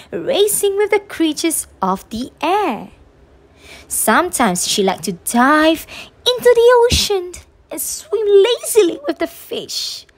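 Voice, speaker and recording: A woman reading a children's story aloud in an expressive, drawn-out way meant for young listeners. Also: sits high. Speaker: storybook reader on a children's channel.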